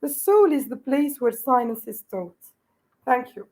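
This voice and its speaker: A woman poet from Tunisia reciting her poem in English in a clear, declamatory manner. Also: sits high, sing-song, unhurried, darkish tone. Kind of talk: poetry recitation.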